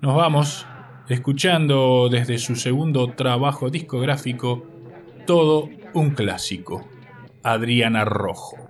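A man's voice: medium pitch, slow pace, faint music behind. A man with a Uruguayan accent reads a scripted biographical narration as the presenter of a radio podcast.

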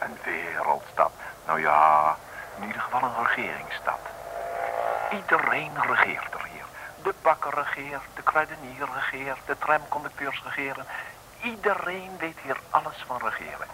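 A man acting as a sly, conspiratorial narrator of an old Dutch comic radio serial, addressing the listener, on a noisy vintage recording. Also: fairly low pitch. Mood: wry. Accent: Dutch from the Netherlands. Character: sly conspiratorial storyteller guiding listeners around.

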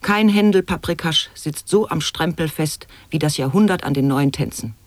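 An actor in a radio play voicing an eccentric declaimer of absurd wordplay and rhymes in theatrical, deliberate German stage delivery. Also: medium pitch, no room sound, natural voice. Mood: absurdly narrating. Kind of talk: avant-garde radio drama monologue, sound poetry.

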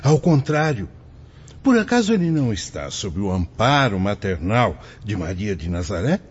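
A husky, fatherly priest. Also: lowish voice, very animated, normal speed. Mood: calm, fatherly. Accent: Brazilian. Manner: fatherly, reassuring. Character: fatherly, wise priest spirit, calm mentor. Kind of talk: radio drama acting. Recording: dull lo-fi audio.

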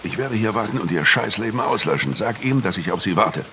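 An actor playing a menacing, embittered older ex-convict in a radio crime drama, terse and commanding with a gravelly voice. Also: male voice, on the low side, animated, fast, pushed and bright, slight background noise. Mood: threatening. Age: 50s.

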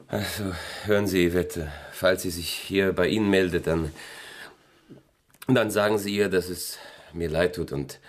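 Worried husband in a radio play, deep husky voice, stammers and repeats himself urgently. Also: male voice, measured pace. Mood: anxious. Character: anxious, agitated husband searching for his wife. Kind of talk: radio drama acting.

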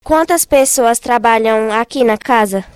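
Young female reporter, student, Cearense accent, higher voice, scripted interview delivery, dry sound. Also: a little slow, clear, resonant tone, no room sound. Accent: Northeastern Brazilian, Ceará. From Ceará, Brazil. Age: child or young student. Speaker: radio reporter.

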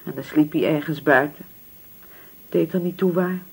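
A poor working-class widow in a radio drama. She sounds husky and anxious, grieving and submissive, often hesitating over her words. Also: sits low, moderate pace, a little mellow, full character voice. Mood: subdued, sad.

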